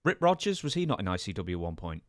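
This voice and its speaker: A man's voice: low voice, big pitch swings, quick pace, no echo, studio quality. A man hosting a podcast, putting questions to his guest in a casual interview style.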